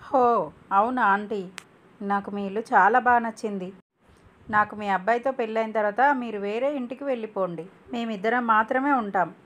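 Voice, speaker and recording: A woman's voice: a little high, normal speed, a bit bright, lightly acted. Young modern woman, acted by a female storyteller, haughty, brash, stylish, English-sprinkled talk, cartoon story. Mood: haughty, demanding. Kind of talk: cartoon moral story voice acting.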